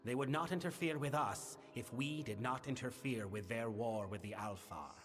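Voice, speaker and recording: A male actor voicing a grave, burdened fae king in a fantasy game, speaking formally and in a measured, solemn manner. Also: mid-range voice, fairly bright tone, lightly acted. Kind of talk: scripted fantasy game dialogue. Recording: long reverberant hall, music behind. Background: music.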